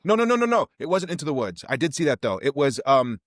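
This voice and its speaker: A man's voice: on the high side, very animated, fast talker, fairly bright tone, dry recording, clean studio sound. A man with an enthusiastic, emphatic manner, hosting a podcast in a conversational style. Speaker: podcast host and interviewer.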